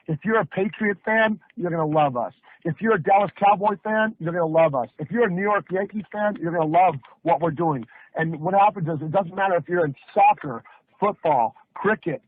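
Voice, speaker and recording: A man with a North American accent giving an emphatic, hyped sales pitch on a conference call, telling it like a story with rhetorical questions and folksy repetition. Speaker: network marketing presenter. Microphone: phone or conference line. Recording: muffled, band-limited conference call audio.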